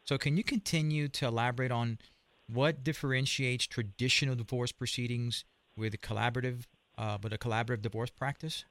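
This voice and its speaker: A man's voice: medium pitch, steady tone, brisk, a bit bright, no echo. A man hosting an interview show, asking long, hesitant questions full of restarts. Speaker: show host.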